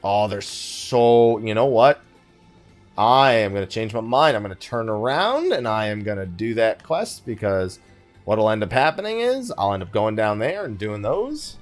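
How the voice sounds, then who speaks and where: A man's voice: on the low side, big pitch swings, moderate pace, faint music behind. A man giving casual let's play commentary, thinking aloud in a wry, conversational way. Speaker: gaming YouTuber, host of the let's play.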